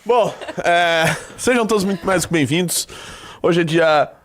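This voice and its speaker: A man's voice: fairly high pitch, measured pace, a bit bright. A Brazilian man with a stuffy nose hosting a live show in loose, joking commentary, peppered with "tá?". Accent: Brazilian Portuguese. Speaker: livestream host.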